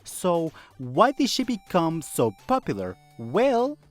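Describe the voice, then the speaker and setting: Youtuber reading a fandom video essay, really lively pitch, chatty with wry little asides, faint music under it. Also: slow. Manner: conversational, rhetorical questions, wry asides.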